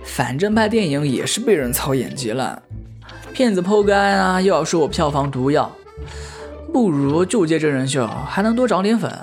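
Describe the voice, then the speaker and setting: A man acting an eager, animated young actor. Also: a little high, moderate pace, faint music behind. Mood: stubborn, teasing. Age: young adult. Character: eager, playful young male actor known for bad acting. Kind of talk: audio drama acting with background music.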